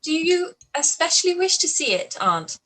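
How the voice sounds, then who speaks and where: A spirited young woman, voiced by an actress, asks curious questions in a high, bright, animated voice. It is a radio play reading. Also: normal speed, a little hiss or hum, exaggerated voice.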